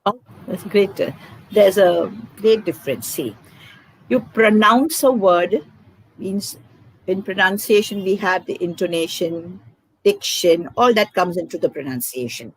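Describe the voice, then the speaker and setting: A woman's voice: medium pitch, husky, dry recording, poor audio. An older woman from Karnataka with an Indian English accent, a Konkani speaker, giving emphatic advice as an educator in an interview and repeating her key points. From south India, Karnataka. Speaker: educator, guest. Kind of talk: conversational advice.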